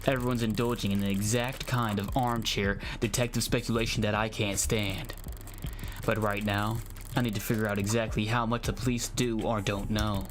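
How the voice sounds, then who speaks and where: A man voicing a sly, cynical detective narrator from a visual novel game, dry, measured and articulate. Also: lowish voice, flat delivery, soft background music, voice pushed down, close to an everyday voice.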